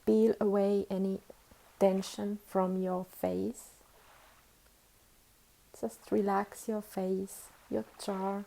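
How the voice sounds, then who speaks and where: A woman leading a guided meditation as a teacher, with soothing, unhurried instructions and long pauses. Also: medium pitch, level tone, vocal fry, warm tone, rough recording.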